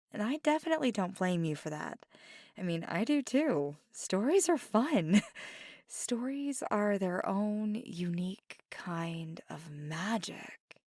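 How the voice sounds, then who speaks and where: A woman voicing a playful, mischievous, kindly witch in an intimate, unhurried ASMR monologue that slips into near-whispers. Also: lowish voice, vocal fry, darkish tone, dry recording, studio quality, heavily acted. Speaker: ASMR audio performer.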